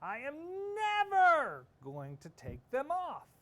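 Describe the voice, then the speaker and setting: A man voicing an exuberant, stubborn little girl for a children's storybook, with gleeful, drawn-out delivery. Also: extremely high pitch, huge pitch swings, pitched above the performer's own voice, exaggerated voice. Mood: gleeful, defiant. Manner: shouting, stretched-out gleeful delivery. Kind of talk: animated children's storybook read-aloud.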